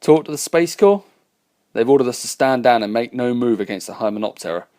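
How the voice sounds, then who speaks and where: A man's voice: mid-range voice, lively intonation, a little fast, mellow, natural voice. A man reading aloud who voices a determined, battle-worn starship captain speaking earnestly and resolutely.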